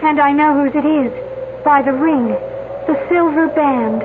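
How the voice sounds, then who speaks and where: A high, girlish student speaks through heavy noise on an old radio recording. She is excitable and earnest, in dramatic mystery style. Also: measured pace, narrow, tinny audio. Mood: shaken, earnest. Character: excitable young female archaeology student. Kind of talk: 1970s radio mystery acting.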